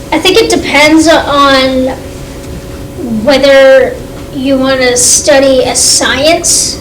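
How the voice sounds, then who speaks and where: A woman's voice: high-pitched, background noise. A female student answering in class, hesitant with many fillers. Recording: echoey classroom, distant mic.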